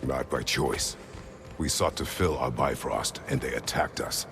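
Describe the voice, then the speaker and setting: An actor playing a gruff, battle-hardened warrior father in a video game, terse, formal and gravelly. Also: very deep voice, unhurried. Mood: stern, questioning. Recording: music under the voice.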